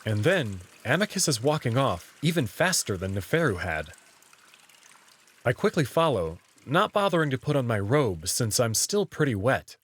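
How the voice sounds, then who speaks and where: A man's voice: mid-range voice, big pitch swings, moderate pace, fairly bright tone, husky. A man reading first-person story narration in the role of narrator.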